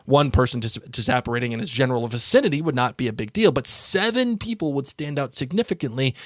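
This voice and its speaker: A man's voice: a little high, no echo. A man with an American accent hosting a podcast in a playful, bantering way, chatting casually and reading listener emails aloud. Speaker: podcast host.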